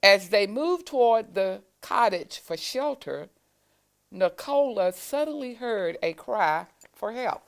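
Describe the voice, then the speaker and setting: A woman reads a picture book aloud for children. Her pace is slow and deliberate, her phrasing expressive, and her mid-pitched voice has a noticeable creak. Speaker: school staff member reading aloud to children. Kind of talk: children's storybook read-aloud.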